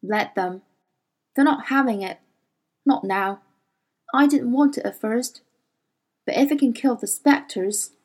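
Woman reader doing a quiet serious boy, terse, awkward, slow, audiobook. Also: a little high.

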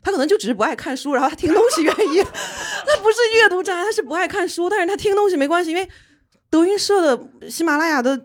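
A woman chatting casually on a podcast, arguing her opinion quickly and laughing as she talks. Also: very high-pitched, expressive. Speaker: podcast guest. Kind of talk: casual chat.

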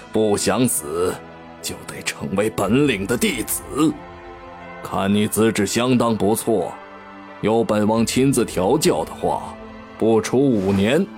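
A man playing a domineering, proud lion demon king in a fantasy audio drama, with a gruff, pompous and commanding manner. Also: fairly low pitch, darkish tone. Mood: imperious.

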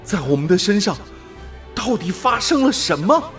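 Actor as awestruck transformed explorer, sweeping intonation. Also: male voice, high voice, sing-song, over music. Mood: stunned. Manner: theatrical, halting, exclamatory wonder. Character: awestruck man turned into alien Jovian creature, fervent explorer. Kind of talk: dramatic radio play acting.

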